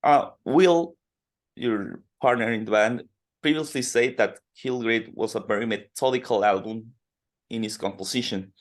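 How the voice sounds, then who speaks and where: A man from Colombia interviewing a musician, asking slow, halting questions full of fillers. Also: medium pitch. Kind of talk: podcast interview in second language.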